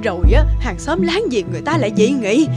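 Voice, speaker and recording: A woman's voice: a little high, sing-song, a little fast, over music. A woman playing a snobbish, fretful landowner's wife, disdainful and exclamatory, in a fairy-tale cartoon dub. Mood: worried, scheming.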